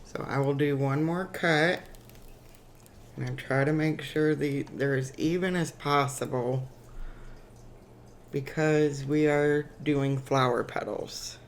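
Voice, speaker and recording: A woman with a raspy, hoarse voice from a cold, hosting a chatty step-by-step crafting tutorial with frequent ums. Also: sits low, fairly even intonation, takes it slowly, slight background noise.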